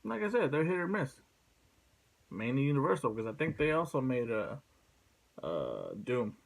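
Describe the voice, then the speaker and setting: A man speaking casually as a video host, blunt and sweary, in a halting, rambling way. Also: mid-range voice, big pitch swings, creaky. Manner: blunt, profane. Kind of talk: casual opinion vlog.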